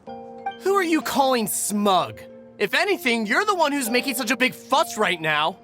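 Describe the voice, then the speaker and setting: A touchy young brother in a video game. The voice is bright and edged, with animated swings in pitch, over faint music. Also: male voice, measured pace, full character voice. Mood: indignant. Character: touchy, indignant young man, bickering brother.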